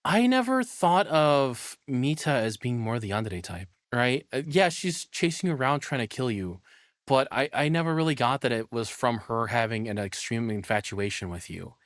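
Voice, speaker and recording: A man's voice: mid-range voice, lively intonation, normal speed, no room sound, clean studio sound. A man giving casual, enthusiastic, rambling live reaction commentary as a streamer.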